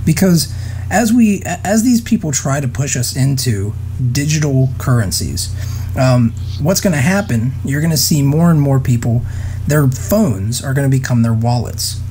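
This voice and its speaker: Mellow, lively-voiced man hosting a call. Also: mid-range voice, moderate pace, slight background noise. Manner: engaged and affirming, with many "sure" and "mm-hmm" replies. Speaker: community host. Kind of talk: online call discussion. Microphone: online video call.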